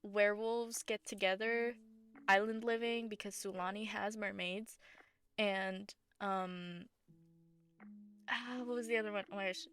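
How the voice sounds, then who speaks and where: Hoarse, gravelly woman. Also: medium pitch, fairly even intonation, unhurried, a bit bright. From Southern United States. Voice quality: scratchy, gravelly voice. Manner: rambling, filler-heavy, self-correcting. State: hoarse after bronchitis. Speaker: game builder and channel host. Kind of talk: casual voice-over commentary over gameplay. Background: background music.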